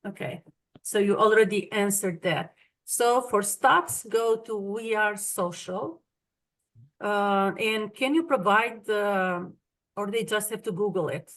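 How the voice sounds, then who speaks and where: A woman's voice: medium pitch, slow pace, a bit bright, poor audio. A woman with an Albanian accent, a native Albanian speaker, moderating an online webinar and reading out audience questions over a video call. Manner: reads audience questions, apologetic about names.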